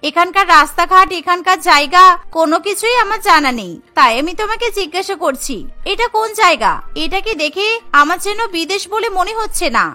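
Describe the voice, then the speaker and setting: A woman's voice: extremely high pitch, expressive, fairly quick, pushed and bright, soft background music, full character voice. A woman voicing a naive, stubborn teenage girl in a cartoon, speaking insistently. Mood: earnest. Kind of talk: Bengali cartoon dialogue.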